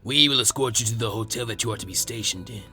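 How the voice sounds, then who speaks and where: A man voicing a stern elderly samurai leader in an anime fan fiction reading, formal, measured and grave. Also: medium pitch, pushed and bright, soft background music, voice pushed down. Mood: formal, courteous.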